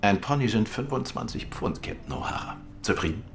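An actor playing a composed, dryly persistent gentleman detective in a radio crime drama, measured and pointed in his questioning. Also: fairly low pitch, lively intonation, vocal fry, a little hiss or hum. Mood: dry, controlled.

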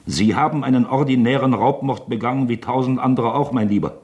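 A man's voice: mid-range voice, a little fast, a little mellow, close to an everyday voice. An actor in a German radio drama playing a wily, talkative, mock-genial male magistrate who toys with his suspect, insinuating and falsely chummy. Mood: mocking, probing.

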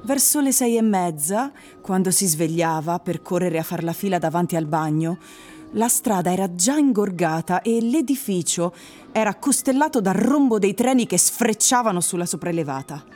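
A woman narrator reading literary prose for a radio drama in a measured storytelling manner. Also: lowish voice, lively intonation, mellow, soft background music.

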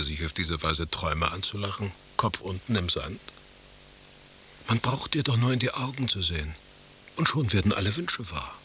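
An actor playing a grumpy, sardonic husband in a radio play, with dry, mocking, formal diction. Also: male voice, sits low, sharp tone, exaggerated voice. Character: grumpy, sardonic husband in a bickering beach conversation piece. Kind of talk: German radio play acting. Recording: faint tape hiss.

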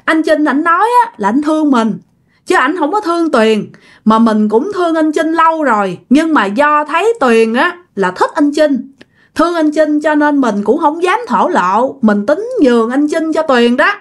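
Woman playing remorseful female friend, high voice, Southern Vietnamese accent, pleading, apologetic, repetitive, animated melody, storytelling. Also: normal speed. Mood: pleading, sorrowful. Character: tearful, pleading woman explaining herself to a wronged friend.

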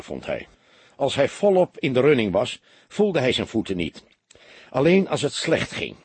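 A man narrating a crime audiobook in an expressive, scripted storytelling style. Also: medium pitch, slightly rough, no echo.

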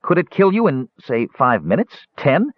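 A man voicing an excitable, chatty small male kender in an audiobook, talking in eager rushes. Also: medium pitch, no echo, voice pushed up. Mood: eager, chattering. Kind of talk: audiobook character voice. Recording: dull, dry lo-fi recording.

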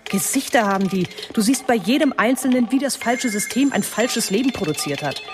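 A woman's voice: a little high, lively intonation, a little fast, music in the background, close to an everyday voice. An actress playing a sardonic woman politician. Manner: sardonic and self-assured. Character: sharp-tongued woman politician, scornful of the powerful. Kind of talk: radio play acting.

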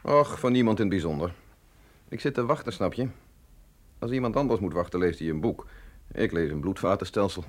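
A man acting in a radio drama as a calm, bookish medical scientist with an unruffled, genial manner. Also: low voice, fairly quick, long pauses. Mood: calm, musing.

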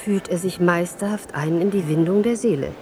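An actress as a teasing, very low-voiced woman. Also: moderate pace, over music, lightly acted. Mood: amused, intimate. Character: sceptical, amused woman confidante reacting to talk of seducers. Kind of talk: conversational radio play dialogue.

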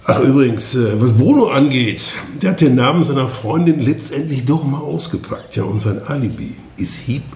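Radio play: actor plays a harried, exasperated man, lively pitch, roomy. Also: normal speed, few pauses, natural voice. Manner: gruff, exasperated.

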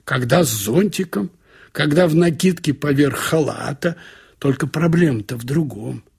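A man narrating a short story in a conversational, wry way, with expressive asides, like a radio audiobook reader. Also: fairly high pitch, normal speed, gravelly, breathy edge. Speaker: narrator reading literary prose.

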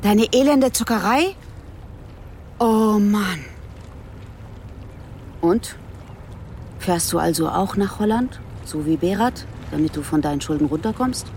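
A woman's voice: medium pitch, animated, takes it slowly, pauses often, a little mellow, a little hiss or hum. An actress around forty playing a probing, stern female police detective in a radio drama, questioning insistently. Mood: accusing.

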